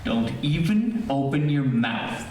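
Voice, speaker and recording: A man's voice: medium pitch, big pitch swings, slow, warm tone, a little hiss or hum. A man playing a worn-out, exasperated father who grumbles in an old-time radio comedy. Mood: stern, fed up. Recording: very echoey hall, distant mic.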